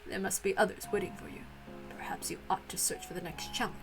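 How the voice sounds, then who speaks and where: Woman doing a subdued, low-toned old manservant. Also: fairly even intonation, fast talker, vocal fry, over music, close to an everyday voice. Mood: polite. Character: stern, quiet old male servant, loyal and humble.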